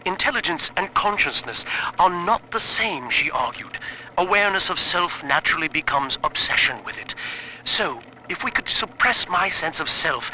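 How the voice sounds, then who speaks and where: A learned scientist weighed down by guilt, recording a game audio log. His voice is sharp and very bright, his phrasing formal and articulate. Plain noise runs under the recording. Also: a little high, low-quality recording. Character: guilt-ridden scientist, a man, sliding toward madness. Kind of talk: dramatic recorded audio-diary monologue.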